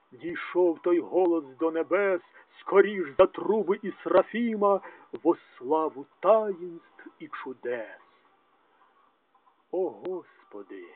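A man giving a dramatic, declamatory poetry recitation, swinging between loud and hushed delivery, on a tinny, narrow-band recording. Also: fairly high pitch. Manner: declamatory, with strong rises and drops in loudness. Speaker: translator reading own poetry translations. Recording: tinny, narrow phone-like sound.

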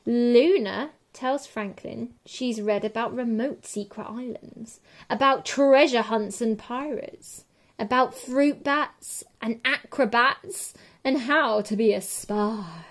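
A woman with a fairly high voice reads a children's story aloud. Her pace is slow and measured, with expressive phrasing suited to a picture book. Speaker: teacher reading picture books.

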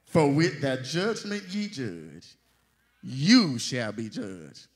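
A man narrating a church play in a deliberate, preacherly way, reading a dramatic script. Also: medium pitch, wildly swooping pitch, breathy edge. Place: lectern in a large church hall.

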